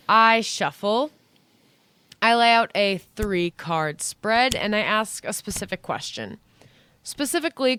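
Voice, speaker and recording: A woman playing a competitive, insistent young woman in an audio play, speaking in a brisk, assertive manner. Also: mid-range voice, pushed and bright, clear, resonant tone.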